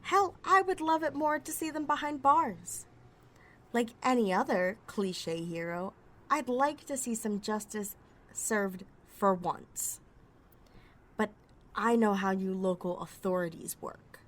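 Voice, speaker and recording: A woman's voice: medium pitch, takes it slowly, a bit bright. A woman playing a cocky, wisecracking outlaw thief with a sassy, sarcastic, foul-mouthed delivery in an ASMR roleplay audio drama. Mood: bitter, cynical.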